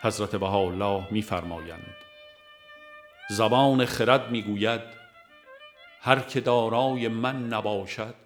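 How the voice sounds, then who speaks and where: Guy with a lowish voice reading a spiritual audiobook, solemn and measured, formal wording, faint violin music behind. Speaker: audiobook reader. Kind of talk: scripted narration of a devotional text.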